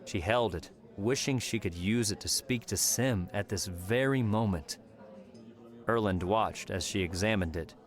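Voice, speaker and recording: A man narrating a fiction audiobook in a measured storytelling style. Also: fairly low pitch. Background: soft background music.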